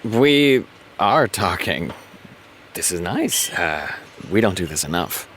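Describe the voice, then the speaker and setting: Low, slow, hesitant brooding man. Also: sing-song, fairly bright tone, a little hiss or hum, full character voice. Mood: evasive, subdued. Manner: halting, understated, evasive. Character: male TV showrunner, hesitant, brooding, in career doubt. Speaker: actor in an audio drama. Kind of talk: naturalistic audio drama dialogue.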